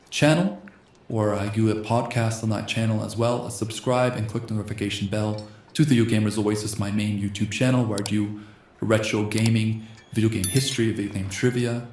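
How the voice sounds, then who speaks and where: A slightly hoarse man hosting a gaming channel, reading a text adventure game aloud in a soft ASMR style and speaking the punctuation marks. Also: fairly low pitch, steady tone, normal speed, echoey room, a little hiss or hum. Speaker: host.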